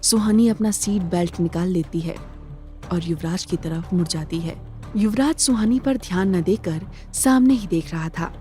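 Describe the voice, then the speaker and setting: A woman narrating a scripted audio drama in a measured, dramatic storytelling manner. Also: lowish voice, mellow, faint music behind.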